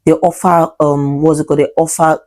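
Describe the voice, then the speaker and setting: Chatty young Nigerian woman, low voice. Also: level tone, brisk, darkish tone. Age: 20s. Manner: chatty, rambling, with frequent fillers and self-corrections. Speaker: vlogger and English teacher. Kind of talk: casual vlog talk to camera.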